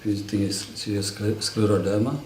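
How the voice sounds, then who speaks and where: Man, fairly deep voice, oral exam questioning, roomy echo, faint noise. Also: steady tone, fairly quick, darkish tone. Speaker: medical examiner. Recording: echoey room recording with faint noise.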